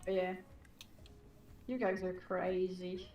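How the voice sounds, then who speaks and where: A woman artist whose first language is not English, chatting and teasing in a relaxed way on a stream. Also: fairly low pitch, takes it slowly, roomy sound, distant mic, soft background music. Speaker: artist, co-host. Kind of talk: casual chat on a livestream.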